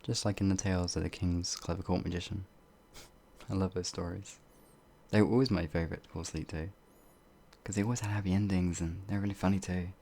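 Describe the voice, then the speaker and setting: A gentle, bumbling werewolf played by a man in an ASMR audio roleplay. His voice is very deep, with a gravelly crackle and a husky breathiness. He speaks timidly and haltingly, often sinking toward a whisper. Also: fairly even intonation. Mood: gentle, wistful. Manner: hesitant, timid, halting, with sighs and trailing phrases. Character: shy, gentle, bumbling pacifist male werewolf, older than he looks.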